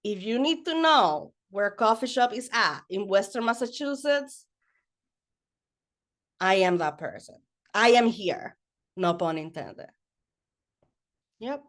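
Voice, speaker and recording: A woman from the Dominican Republic whose first language is Spanish, introducing herself as a webinar panelist in a lively, playful way over a video call. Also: mid-range voice. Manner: lively and playful, with a slow unhurried delivery. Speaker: panelist, mental health educator.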